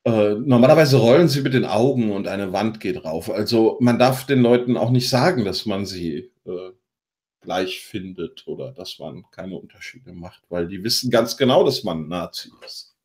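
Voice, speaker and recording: A man co-hosting a podcast, commenting on news in a halting way as he reads from his notes. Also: fairly low pitch, expressive, a little mellow. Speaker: podcast co-host.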